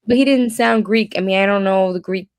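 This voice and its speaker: A woman co-hosting a casual movie review podcast, chatty and full of quick questions. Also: medium pitch, crowd noise. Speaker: podcast co-host.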